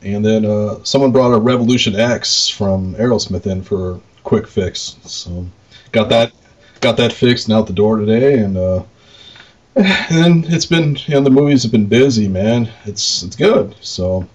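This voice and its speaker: A man co-hosting a podcast in casual chat, informal and halting, often trailing off. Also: on the low side, animated, dull audio. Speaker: podcast co-host, arcade operator.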